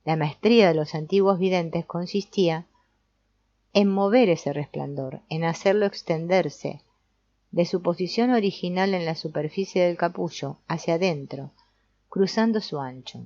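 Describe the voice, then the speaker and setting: A woman reading an audiobook as narrator in a measured, explanatory way. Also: fairly low pitch, dark tone, no room sound. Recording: dull, lo-fi sound.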